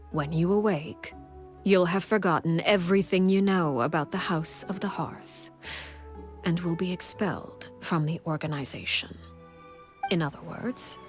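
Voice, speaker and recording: A cold, commanding matriarch speaks in a low, calm and measured voice, often dropping to a hush, as a woman acts her out. She sounds stern and fully in control, the head of an orphan house in a story game. Faint music plays behind her. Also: close to an everyday voice. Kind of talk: video game voice acting.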